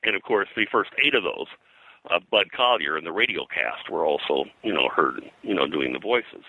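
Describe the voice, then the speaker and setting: Very deep, bright-edged older man. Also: lively intonation, moderate pace, gravelly, slightly rough, dry recording, rough recording. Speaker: interview guest, pop culture historian and comics artist. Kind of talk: conversational reminiscing interview.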